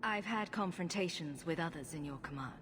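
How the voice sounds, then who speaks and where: An actress playing a tough, suspicious adventurer heroine in a video game, blunt, direct and controlled. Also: female voice, sits low, lively intonation, fairly quick, long reverb tail, noisy background. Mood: guarded. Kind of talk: video game cutscene acting.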